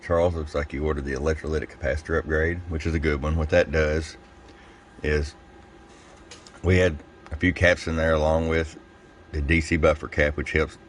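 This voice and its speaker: A man giving a casual, step-by-step hands-on product review in a plain-spoken, folksy way, pausing between short phrases. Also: very deep voice, little pitch movement, a little hiss or hum. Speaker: radio seller demonstrating a product.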